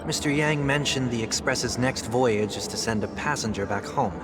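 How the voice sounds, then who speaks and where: A man voicing a poised, courteous young man of authority in a game, with loud music behind. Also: mid-range voice, normal speed, lightly acted. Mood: composed. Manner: refined, courteous, thoughtful.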